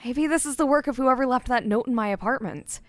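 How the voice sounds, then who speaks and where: Dry studio sound, actress as uneasy suspicious woman, slightly high voice, inner monologue, audio drama. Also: brisk, no room sound, close to an everyday voice. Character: uneasy, suspicious woman thinking through a frightening mystery.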